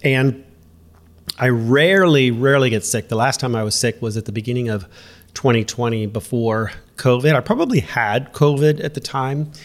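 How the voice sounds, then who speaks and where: Guy with a head cold hosting his podcast, nasal and stuffy, mid pitch, chatty and direct. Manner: talks straight to the listener, often checking in with "okay?". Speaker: podcast host and running coach. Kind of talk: casual solo podcast talk.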